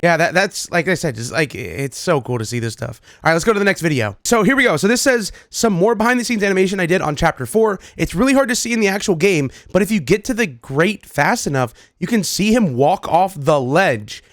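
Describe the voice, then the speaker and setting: A man giving casual, excitable reaction commentary as a gaming video host, with slangy, repetitive chat. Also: high voice, fairly quick, few pauses, no room sound, studio quality. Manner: chatty, excitable, slangy, repeats himself. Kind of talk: casual reaction commentary to game images and clips. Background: background music.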